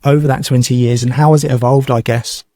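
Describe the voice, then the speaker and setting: A man's voice: medium pitch, steady tone, fast talker, mellow, dry recording, clean studio sound. A man hosting a podcast interview, asking questions with hesitant restarts. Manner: hesitant, repeats words as he starts. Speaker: podcast host.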